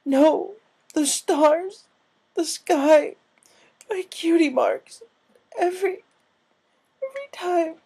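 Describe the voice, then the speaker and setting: A traumatized young pegasus mare from a pony storybook, acted out by a man. The voice is lifted high and soft-toned, halting and tearful, as if barely holding together. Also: vocal fry, heavily acted, rough recording. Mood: anguished sobbing. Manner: halting, sobbing, stammering delivery.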